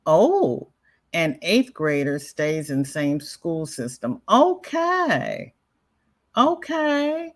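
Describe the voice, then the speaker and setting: A woman's voice: low-pitched, big pitch swings, very slow. A woman hosting a crochet livestream, chatting casually and rambling, reading out viewer comments, with a congested voice and frequent restarts. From American South. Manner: rambling, chatty, self-interrupting with repeated starts.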